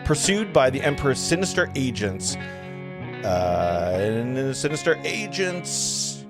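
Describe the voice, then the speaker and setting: A man narrating stage directions in a live table read of a film script, sometimes halting and stumbling over the text. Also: medium pitch, big pitch swings, over music.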